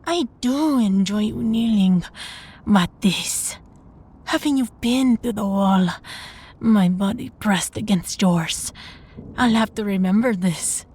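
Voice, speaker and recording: A woman playing a sadistic, possessive vampire master in an intimate audio roleplay, teasing and imperious, with a breathy, husky voice. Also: mid-range voice, darkish tone. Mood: taunting, amused. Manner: slow, taunting, imperious, with breathy whispers.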